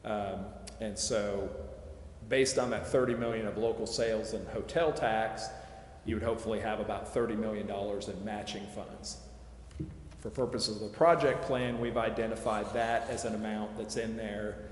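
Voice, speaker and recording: A man giving a measured, methodical explanation at a public meeting, answering questions with careful qualifiers. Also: lowish voice, fairly even intonation. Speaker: presenter answering committee questions on project financing. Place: large echoey council chamber. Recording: echoey hall reverb, clear background noise.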